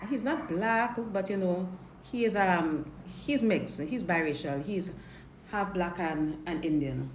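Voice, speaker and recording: A woman's voice: on the low side, slow. A woman from Trinidad with a Trinidadian accent, a dating coach chatting frankly while answering viewer questions on a live stream. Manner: chatty, frank, repeats and restarts words. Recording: roomy live-stream audio with faint noise.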